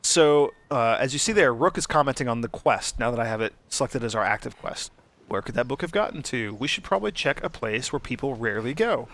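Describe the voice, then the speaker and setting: A man's voice: medium pitch, lively intonation, fairly quick, a bit bright. A man hosting a Let's Play, giving casual, easygoing commentary and explanations.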